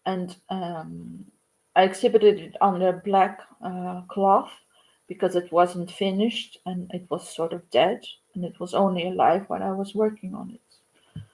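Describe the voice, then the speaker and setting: Slow, halting woman. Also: lowish voice, fairly even intonation, creaky, darkish tone, poor audio. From Ukraine. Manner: halting and reflective, many pauses and fillers. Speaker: artist. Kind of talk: livestream monologue.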